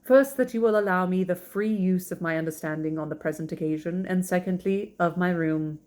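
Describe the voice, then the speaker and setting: A woman voicing a calm older gentleman father from a classic novel, deadpan, measured and formal. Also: fairly low pitch, natural voice. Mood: dryly polite.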